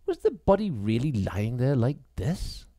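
A man's voice: medium pitch, big pitch swings, measured pace, warm tone, dry recording, studio quality. A man voicing an earnest, questioning young country policewoman in a mystery story reading. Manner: earnest, inquiring.

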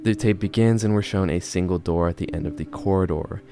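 A man's voice: low voice, brisk, music in the background. A man in his twenties giving casual scripted commentary as a video host, close to a studio microphone. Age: 20s. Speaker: YouTube horror video host. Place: bedroom, indoors. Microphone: close studio microphone on a boom arm.

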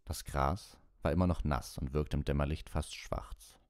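A man with a gravelly, very deep voice reading a story as an audiobook narrator. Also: fairly even intonation, normal speed, darkish tone, no room sound, clean studio sound.

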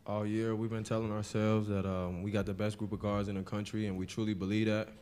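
A young male college basketball player answering postgame questions at a table mic in a press room, soft-spoken with many fillers. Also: on the low side, flat delivery, fast talker, clean tone. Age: young adult.

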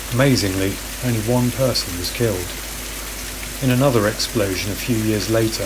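A man reading a scripted documentary narration in a calm, measured voice-over. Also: medium pitch, noisy background. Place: voice-over, recorded apart from the woodland footage.

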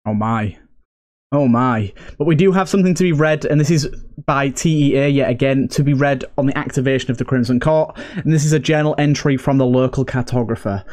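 A man's voice: medium pitch, brisk, darkish tone. A man giving casual commentary as he plays a video game, as host of a let's play.

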